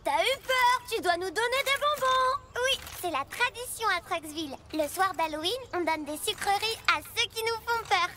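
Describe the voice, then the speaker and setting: An actor voicing an eager, mischievous little child truck in a French children's cartoon, excitable and theatrical. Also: extremely high pitch, moderate pace, few pauses, heavy background noise. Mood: defiant, pleading.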